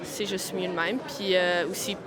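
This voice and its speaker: A woman's voice: lowish voice, level tone, normal speed, a loud crowd. A young woman with a Canadian French accent talking casually, full of filler words. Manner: casual, lots of "comme".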